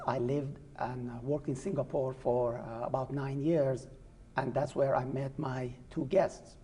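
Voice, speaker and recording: A middle-aged man, a business school dean, hosting an informal educational interview in a measured way with frequent hesitations. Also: medium pitch, dark tone, husky.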